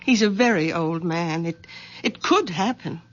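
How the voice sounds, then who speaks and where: An actress playing a protective grown daughter, earnest and pleading, in an old-time radio drama. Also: female voice, on the low side, lively intonation, unhurried. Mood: gentle, careful. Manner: earnest, pleading, gently insistent. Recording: dull lo-fi old radio recording.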